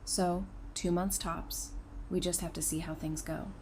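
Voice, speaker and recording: A woman's voice: on the low side, fairly even intonation, a little slow, darkish tone, a little hiss or hum, lightly acted. A woman playing a practical, easygoing survivor in an audio drama, speaking in a matter-of-fact, conversational way. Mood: explaining.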